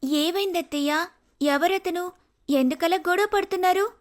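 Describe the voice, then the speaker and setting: A woman's voice: extremely high pitch, moderate pace. A woman playing a forthright, affectionate young bride-to-be in a story. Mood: worried.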